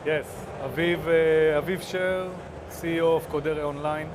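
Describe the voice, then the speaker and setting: A man answering questions as a panelist, talking in halting phrases broken by many filler pauses. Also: on the high side, steady tone, background noise. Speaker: panelist, online gaming executive. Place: event studio at a trade show.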